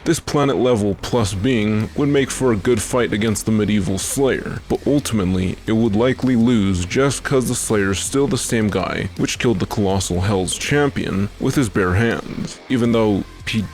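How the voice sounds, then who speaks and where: A man's voice: fairly low pitch, moderate pace, hardly any pauses, darkish tone, a little hiss or hum. A man narrating a scripted video essay as its host.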